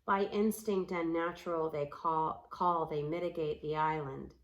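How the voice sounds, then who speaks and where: A woman reads a storybook aloud in a fairly low voice. She takes her time over each phrase, very slowly and deliberately, giving the poetic lines an expressive, recited shape. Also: muffled recording. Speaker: storybook reader. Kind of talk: storybook read-aloud in rhyming, poetic prose.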